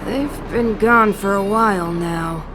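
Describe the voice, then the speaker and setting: A woman's voice: mid-range voice, slow pace, natural voice. A woman voicing an earnest, somewhat hesitant young female miner in a video game. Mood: relieved, worried. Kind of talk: anime-style video game voice acting. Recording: loud game music underneath. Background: game cutscene music.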